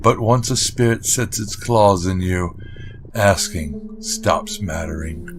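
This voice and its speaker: An actor playing a grave, calm occult mentor in a gothic audio drama, speaking low and steady with solemn warnings. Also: male voice, slow pace, soft background music. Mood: grave, almost kind. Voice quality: low, hushed. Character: grave, calm male occult exorcist mentor, almost kind.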